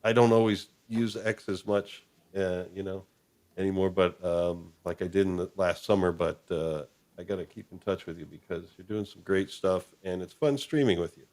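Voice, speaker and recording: A man with a fairly low voice hosts a live stream. He chats casually and appreciatively, rambling a little and pausing as he gathers his thoughts. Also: steady tone, a little mellow.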